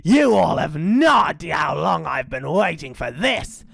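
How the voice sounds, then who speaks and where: A woman's voice: low-pitched, sing-song, measured pace, vocal fry, no echo, full character voice. A woman voicing a big bad male dingo in a children's story, greedy, lazy and mocking, with a gloating, theatrical delivery. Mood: gloating triumph. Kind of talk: children's story character acting.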